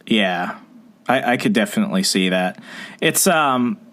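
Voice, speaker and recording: A man's voice: fairly low pitch, measured pace. A man hosting a podcast, chatting casually with a guest, joking and drifting into rants. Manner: animated, joking, prone to rants. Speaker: podcast host. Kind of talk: casual conversation.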